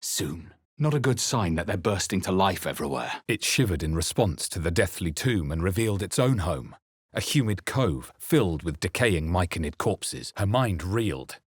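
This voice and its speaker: A man playing a wary, grave fantasy adventurer in a video game, with deliberate, theatrical delivery. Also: low-pitched, animated, husky, no echo, studio quality. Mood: grave, dramatic. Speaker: video game player-character voice actor. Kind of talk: dramatic scripted game narration and voice lines.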